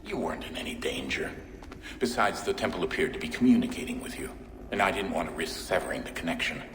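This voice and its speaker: A stern, deep-voiced father. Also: fast, roomy sound, in a large hall, slight background noise. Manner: calm, firm and explanatory. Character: stern, measured middle-aged father. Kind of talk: video game cutscene acting.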